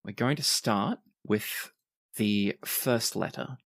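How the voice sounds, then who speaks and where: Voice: medium pitch, slow pace, no echo. A game master explaining tabletop game rules and narrating scenes to players.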